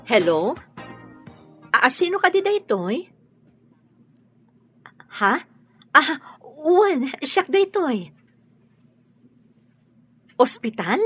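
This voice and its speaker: A woman's voice: sits high, sing-song, very slow, pauses often, lo-fi sound, soft background music, full character voice. A woman playing a caring, emotional mother in an audio drama, speaking expressively. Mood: excited, urgent.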